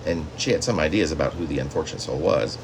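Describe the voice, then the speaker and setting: A man reading his own literary story as a poet, with theatrical, drawn-out phrasing and dramatic emphasis. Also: extremely low pitch, gravelly, noisy background.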